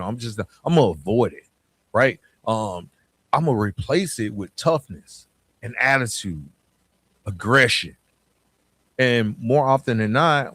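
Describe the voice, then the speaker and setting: A man's voice: mid-range voice, a bit bright, dry recording. A man hosting a podcast, talking casually and motivationally in a colloquial, streetwise way with slang and personal storytelling. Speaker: podcast host.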